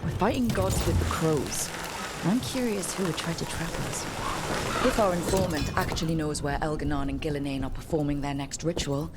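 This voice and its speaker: Actress as resolute young female party leader, fantasy game, low voice, prominent score underneath. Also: moderate pace, close to an everyday voice. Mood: resolute, questioning. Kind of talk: video game voice acting. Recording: loud music behind.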